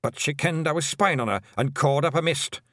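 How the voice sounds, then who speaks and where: A man's voice: a little high, a bit bright, dry recording, clean studio sound, voice pushed up, natural voice. A man voicing a sheepish little fairy man with a Scottish accent. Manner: sheepish, fast-talking brogue.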